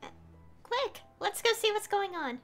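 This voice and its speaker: A woman's voice: very high voice, sing-song, unhurried, fairly bright tone. A woman streamer casually talking to her chat while playing a game. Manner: casual, reads dialogue aloud. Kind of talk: let's-play commentary. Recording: game music behind.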